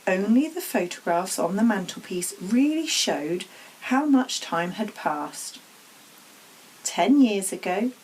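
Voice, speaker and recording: A woman reading a children's storybook aloud as an expressive narrator. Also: medium pitch, husky, poor audio. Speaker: story reader.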